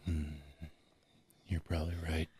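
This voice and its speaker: Actor as a very low, dark, sinister tempter. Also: male voice, husky, heavily acted. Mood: quietly conspiratorial. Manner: calm, measured, coaxing. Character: calm, sinister male voice in a woman's head. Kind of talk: audio horror drama acting. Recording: faint background noise.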